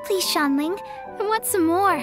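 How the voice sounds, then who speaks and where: A woman playing a good-natured village cook in a video game, with an expressive, gushing way of talking. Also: very high-pitched, measured pace, music in the background. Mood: delighted. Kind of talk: video game character acting.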